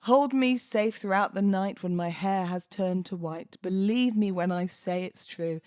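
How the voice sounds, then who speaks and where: A British woman hosting a podcast, moving between informal talk and expressive readings of verse. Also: mid-range voice, measured pace, dry recording, studio quality. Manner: chatty, informal, giggly. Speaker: podcast host. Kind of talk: casual podcast chat with dramatic poetry readings.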